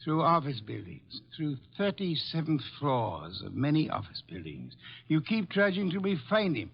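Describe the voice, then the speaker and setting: An actor in an old-time radio drama playing a brilliant, stubborn detective, speaking with deliberate, precise authority in a gravelly voice. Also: male voice, fairly high pitch, big pitch swings, full character voice. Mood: commanding, resolute. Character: brilliant, commanding middle-aged male master detective, exacting and stubborn. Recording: dull, lo-fi old radio recording.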